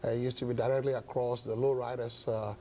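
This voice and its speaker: A pastor from Ghana, older, answering interview questions slowly with many pauses and fillers. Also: male voice, mid-range voice, flat delivery, warm tone, slight background noise. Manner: halting, many uh fillers, measured. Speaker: pastor and guest. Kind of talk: interview talk show.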